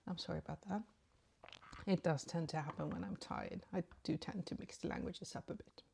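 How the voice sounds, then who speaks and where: Lady rambling thru her makeup, lots of ums, lowish voice, sleepy morning vibe. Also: expressive, fairly quick, gravelly, darkish tone, slightly rough. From Sweden. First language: Swedish. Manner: rambling and chatty, many ums. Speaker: beauty vlogger. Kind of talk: casual makeup tutorial chat.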